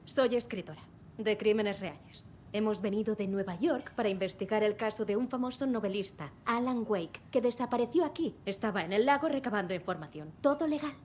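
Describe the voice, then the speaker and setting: A woman's voice: mid-range voice, a little fast, a little mellow, a little hiss or hum, lightly acted. An actress playing a wry, defensive female true-crime writer in a dubbed video game, assertive and sardonic in delivery. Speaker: writer, witness.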